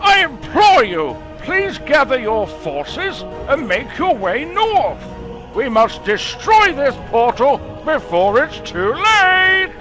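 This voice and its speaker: An elderly, scholarly professor narrates a dire letter for an adventure tale. The voice is extremely high and swoops theatrically. Every phrase is slow and grave, with soft music behind it. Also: male voice, sing-song, full character voice. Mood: urgent, fearful. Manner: dramatic, deliberate, portentous.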